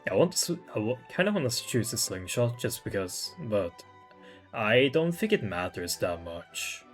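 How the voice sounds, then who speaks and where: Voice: mid-range voice, big pitch swings, moderate pace, faint music behind. A game streamer giving casual playthrough commentary, thinking aloud in an informal, muttering way.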